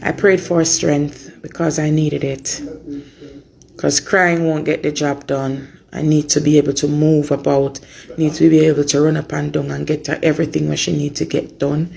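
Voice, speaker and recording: A woman with a Jamaican accent and a hoarse voice, giving an informal, earnest update to supporters and repeating phrases for emphasis. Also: deep voice, level tone, moderate pace, darkish tone. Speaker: mother giving an update on her daughter.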